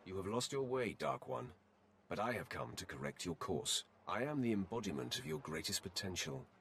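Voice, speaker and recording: A grave Sith warrior from a video game, with a very low voice full of gravel. He speaks formally and solemnly, in measured phrases. There is clear noise behind the voice. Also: sing-song, a bit bright, exaggerated voice. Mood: solemn, admonishing. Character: deep-voiced Sith warrior confronting his solemn mirror-image light self. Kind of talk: video game dialogue acting.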